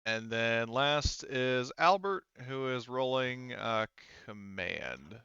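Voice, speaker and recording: A man casually running a tabletop roleplaying game as game master, halting and thinking aloud as he goes. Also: mid-range voice, animated, sharp tone, clean tone, no echo, lo-fi sound.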